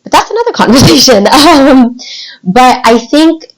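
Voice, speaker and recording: A woman's voice: sits high, unhurried, low-quality recording. Young American woman chatting casually. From United States. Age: 20s. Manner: animated, informal, rambling with frequent "like". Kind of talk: casual podcast chat, personal storytelling.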